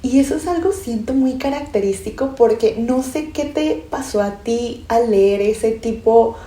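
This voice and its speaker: A woman's voice: fairly high pitch, measured pace, mellow, roomy sound. A young Colombian woman from Pasto with a Pasto accent, co-hosting a casual book podcast and talking enthusiastically. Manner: enthusiastic, explanatory, frequent restarts. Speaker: podcast co-host.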